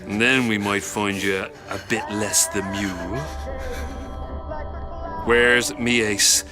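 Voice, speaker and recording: An actor playing a sadistic, mockingly affectionate crime boss in a video game, with an Irish accent and a taunting, drawn-out delivery. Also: male voice, fairly low pitch, fairly even intonation. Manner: taunting, theatrical, drawn-out phrasing. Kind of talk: video game cutscene acting. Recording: music behind the voice.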